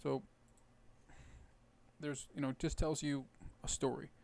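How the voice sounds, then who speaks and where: A man with a tired, hoarse voice and some gravel in it talks through his poker hands. He explains his strategy casually, thinking aloud with plenty of fillers. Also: medium pitch, little pitch movement, unhurried, darkish tone. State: hoarse, rough voice. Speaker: poker video host. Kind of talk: casual poker commentary over gameplay.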